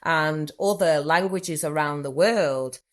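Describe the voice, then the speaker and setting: This is a woman with a Manchester accent, speaking low in her range. She talks with eager enthusiasm in a relaxed interview, circling back and repeating words as she works through her thoughts. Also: slow. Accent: Mancunian. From Manchester, England. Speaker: podcast guest, therapist. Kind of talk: reflective conversational interview.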